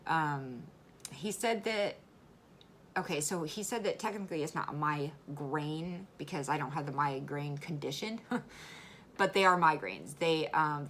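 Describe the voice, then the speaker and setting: Low-voiced woman chatting casually. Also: a bit bright, a little hiss or hum. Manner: chatty, repeats words, laughs often. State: hoarse. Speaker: vlogger. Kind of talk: casual educational vlog.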